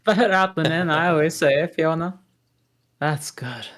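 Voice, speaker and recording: A woman's voice: deep voice, a little slow. A woman voicing an awkward, blunt, good-natured male ogre in a comedic table read, hesitant and dry. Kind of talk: comedic table read of animated film script.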